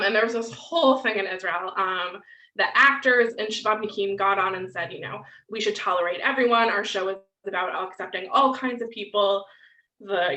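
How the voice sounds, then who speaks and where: A woman's voice: mid-range voice, bright, forceful tone. A woman giving an online lecture and taking questions, talking in a loose conversational way with frequent "um" and "right?" tags. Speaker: guest lecturer. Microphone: video call. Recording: distant, roomy, dull video-call audio.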